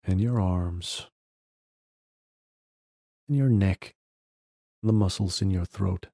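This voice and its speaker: A man leading a guided meditation, giving gentle step-by-step instructions in short phrases with long pauses between them. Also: sits low, dry recording, studio quality. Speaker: podcast host guiding a meditation.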